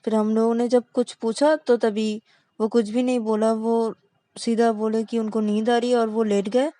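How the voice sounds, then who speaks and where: A woman's voice: a little high, flat delivery, moderate pace, mellow, dry recording, pitched above the performer's own voice, close to an everyday voice. A woman voicing an anxious young man who keeps pressing his friend with worried questions.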